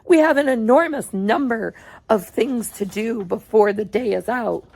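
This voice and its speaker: A woman's voice: on the high side, unhurried, gravelly, a little mellow. A woman voicing an eccentric, cheerful man who owns a chocolate factory, talking in a bouncy, theatrical way. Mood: gleeful.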